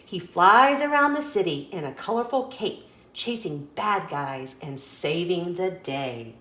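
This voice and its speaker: A woman reading a children's picture book aloud as a story host, in an expressive, deliberate storytelling manner. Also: lowish voice, reverberant.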